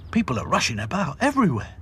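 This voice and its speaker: A man's voice: on the high side, huge pitch swings, quick pace, a bit bright, slight background noise, voice pushed up. Back to Front: a man voicing a chatty boy rag doll. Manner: chatty, observant. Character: chirpy cockney-ish male rag doll lookout.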